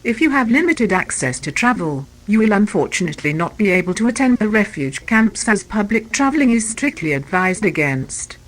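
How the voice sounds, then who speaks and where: A woman's voice: medium pitch, sing-song, brisk, few pauses, poor audio. A woman reading a scripted emergency alert in a formal, impersonal manner. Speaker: emergency announcer.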